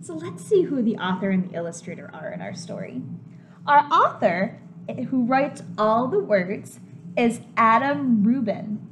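A woman in her twenties, a preschool teacher reading a picture book aloud to small children in an animated, simply explaining way. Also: fairly high pitch, unhurried, a little hiss or hum. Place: home kitchen, indoors.

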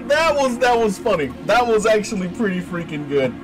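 A man giving excitable, slangy let's-play commentary, exclaiming often and reading game text aloud, with game music behind him. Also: high voice, moderate pace, rough recording. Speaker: gaming video host.

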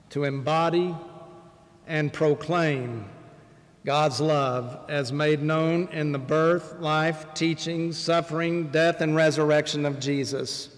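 Older male bishop, slightly high voice, measured pulpit delivery with long pauses, sanctuary reverb. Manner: measured, deliberate preaching with pauses. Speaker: bishop preaching. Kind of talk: sermon. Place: large church sanctuary, at a wooden pulpit. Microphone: pulpit microphone, far camera.

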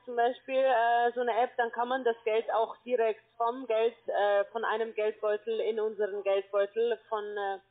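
A woman giving a telephone sales pitch as a call center agent, explaining in a halting, repetitive way. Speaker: call center account manager, telesales agent. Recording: tinny, band-limited phone line.

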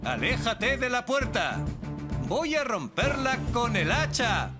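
A man voicing a calm, heroic firefighter leader in a children's cartoon, reassuring and instructive. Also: very high voice, slow, full character voice. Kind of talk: animated children's cartoon dubbing. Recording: loud music behind.

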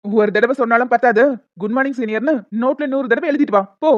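Cartoon college bully, female performer: higher voice, cocky, mocking, slangy flirt. Dry, muffled lo-fi recording. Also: quick pace, no room sound, close to an everyday voice. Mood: bossy, teasing. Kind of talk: cartoon story dialogue in colloquial Tamil slang.

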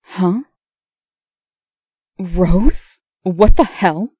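A slow, mellow and husky heroine played by a woman in a comic dub. She is a serious, weary captive who asks questions with indignation. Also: fairly low pitch, dry recording, lo-fi sound, heavily acted. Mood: indignant. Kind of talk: amateur comic dub acting.

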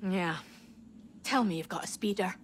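An actress playing a guarded, practical fugitive engineer in a video game, speaking tersely and directly. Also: female voice, on the low side, normal speed, a little hiss or hum, lightly acted. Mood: guarded relief. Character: woman rebel fugitive, self-reliant engineer, guarded and practical.

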